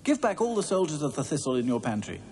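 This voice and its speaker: A man's voice: fairly high pitch, very animated, fast talker, a little mellow, slightly rough, long reverb tail, pitched above the performer's own voice, heavily acted. A man voicing a bold young princess who is firm and determined.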